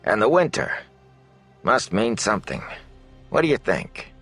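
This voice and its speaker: A chatty, excitable tavern keeper in a fantasy audiobook, acted by a male narrator. His pitch is lifted and leaps around as he gossips in a folksy, exclamatory way, with music in the recording. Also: measured pace, exaggerated voice. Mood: excited, gossipy. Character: chatty, excitable, wary middle-aged male innkeeper with thinning hair. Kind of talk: fantasy audiobook character dialogue.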